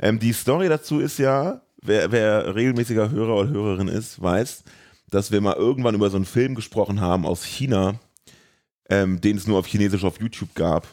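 A man with a fairly low voice co-hosts a casual film podcast. He talks in a colloquial, slightly rambling way, as in a relaxed chat among co-hosts. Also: brisk. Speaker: podcast co-host.

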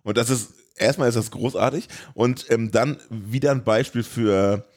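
A man's voice: medium pitch, normal speed, a little mellow. A man discussing a film casually as a podcast host, in colloquial and enthusiastic language.

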